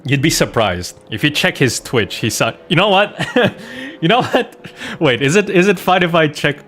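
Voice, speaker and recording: A man's voice: a little high, sing-song, normal speed, fairly bright tone, slight background noise. A man chatting informally as a game streamer, swearing now and then and repeating himself for emphasis. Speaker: streamer, voice actor. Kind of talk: casual livestream chat while gaming.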